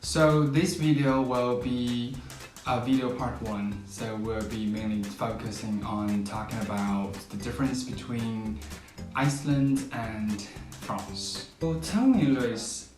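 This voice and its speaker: A man's voice: mid-range voice, slow pace, darkish tone. A man hosting his vlog, interviewing a guest in a friendly, upbeat way. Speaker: vlog host. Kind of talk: casual interview. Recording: echoey room, mic at a distance, guitar music behind.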